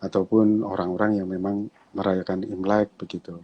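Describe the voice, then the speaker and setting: A man with a Javanese accent, a pastor talking casually and relaxed in an online conversation, chatty and quick to laugh. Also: low voice, muffled recording. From Java, Indonesia.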